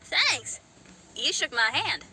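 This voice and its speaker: An upbeat, rebellious young rock musician speaks in a very bright, sharp voice. Her pitch sits high and moves around with lively energy. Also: slight background noise, exaggerated voice. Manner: upbeat, quick to laugh. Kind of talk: video game dialogue.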